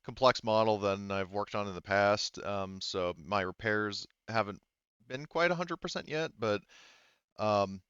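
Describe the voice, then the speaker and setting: A man's voice: on the low side, measured pace, fairly bright tone, smooth, dry recording, lo-fi sound. A man voicing a friendly female colony mechanic in a tabletop game, speaking in a casual, hesitant, matter-of-fact way. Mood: friendly, businesslike.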